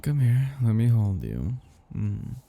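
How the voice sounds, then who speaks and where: Man voicing a dark, gravelly, possessive vampire master. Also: fairly low pitch, sing-song, full character voice. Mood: smug, adoring. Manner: slow, crooning, teasing, condescending. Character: possessive, condescending male vampire master, gently menacing. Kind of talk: intimate ASMR roleplay.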